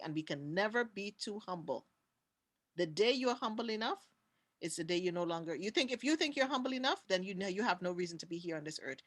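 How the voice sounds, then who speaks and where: A woman's voice: fairly low pitch, a bit bright. A woman with a Trinidadian accent speaking passionately on a conference panel, repeating her key words for emphasis over a video call. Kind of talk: impassioned talk on a panel.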